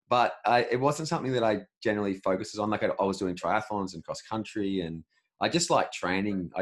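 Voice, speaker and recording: A man in his late twenties with an Australian accent, answering interview questions in a relaxed, rambling way with frequent restarts. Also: sits low. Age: 20s. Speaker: podcast host, interviewee.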